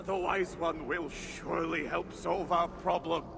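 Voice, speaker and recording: An actor playing a grandiose, drunken dwarf poet in a video game, declaiming with theatrical excitement. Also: male voice, on the high side, unhurried. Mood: exuberant. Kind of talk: cinematic video game acting. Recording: long hall reverb, clear background noise.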